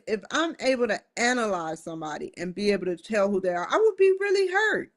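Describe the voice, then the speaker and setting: A woman in her forties talks alone on a live stream, circling her thoughts in an earnest, wandering way. She often restarts her sentences and sits at a middle pitch for a woman. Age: 40s. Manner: rambling, earnest, with frequent restarts. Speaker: talk show host. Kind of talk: live-streamed solo talk. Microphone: streaming setup.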